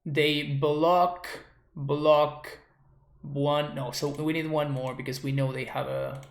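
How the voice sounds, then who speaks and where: A man's voice: a little high, little pitch movement, slow pace, vocal fry. A man from Argentina, streaming a card game and thinking aloud as he works through his plays. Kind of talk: live card game commentary. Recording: roomy echo.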